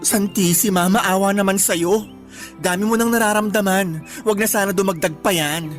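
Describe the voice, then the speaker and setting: An actress with a Filipino accent playing a caring, frank young cousin in an earnest Tagalog radio drama. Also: female voice, medium pitch, normal speed, soft background music, lightly acted. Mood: playful. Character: caring, frank female cousin, scolding but protective.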